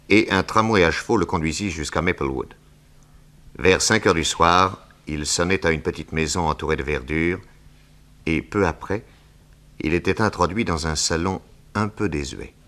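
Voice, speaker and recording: A man narrating a scripted 1960s French radio drama in a measured storytelling manner. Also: deep voice, pushed and bright.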